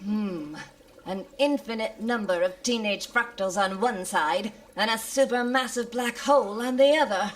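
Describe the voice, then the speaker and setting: An actress playing a brisk spaceship captain who takes charge with deliberate, commanding delivery in a comic sci-fi radio play. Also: female voice, medium pitch, lightly acted, rough recording.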